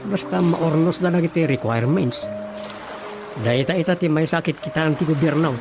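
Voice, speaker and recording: An actor with an Ilocano Filipino accent playing a helpful, earnest village official in a radio drama. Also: male voice, a little high, normal speed, close to an everyday voice. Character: helpful village official explaining government aid. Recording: music bed behind the voice.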